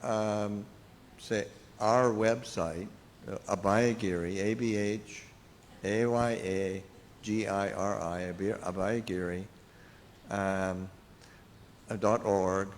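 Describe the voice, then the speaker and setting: An older man, a Buddhist monk, giving an informal talk and answering questions, pausing often with fillers and restarts. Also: fairly low pitch, steady tone, big-room sound, slight background noise. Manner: halting, many fillers and restarts.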